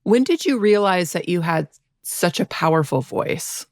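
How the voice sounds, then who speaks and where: Gravelly-voiced curious interviewer. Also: medium pitch, expressive, unhurried, no room sound, studio quality. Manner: curious, with short affirming replies. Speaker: podcast host, interviewer. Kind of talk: interview questions.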